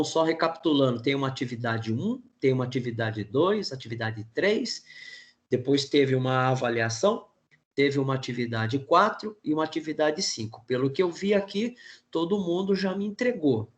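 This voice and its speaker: A Brazilian man with a cold-roughened, hoarse voice, teaching an online class in a casual, chatty way. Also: mid-range voice. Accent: Brazilian Portuguese. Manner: casual, frequent filler words and restarts.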